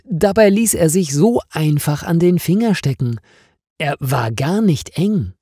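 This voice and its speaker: An impatient office woman from a storybook, read by a man, muttering and complaining. The delivery is slow and mellow, with animated swings in intonation. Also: a little high, breathy edge, no room sound, studio quality, pitched above the performer's own voice, heavily acted. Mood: exasperated. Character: impatient female office worker, easily exasperated. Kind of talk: storybook character dialogue.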